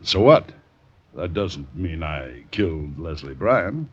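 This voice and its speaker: An actor playing an eminent, evasive politician in a 1950s radio drama, defensive, with a husky voice. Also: male voice, extremely low pitch, very animated, slow pace, full character voice. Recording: dull old radio recording.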